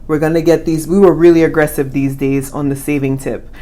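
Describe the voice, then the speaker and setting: A young woman, born in the US with family from the Caribbean, co-hosting a casual money-saving chat in an animated, playful way. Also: sits low, brisk. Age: young adult. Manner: animated, playful, talks with emphasis. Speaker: co-host of a personal finance channel.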